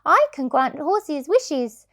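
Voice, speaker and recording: A woman's voice: sits high, sing-song, slow pace, fairly bright tone, pitched above the performer's own voice, exaggerated voice. A woman voicing a magical female unicorn from a storybook, confident and kindly, proclaiming her wish-granting words. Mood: assured, magical.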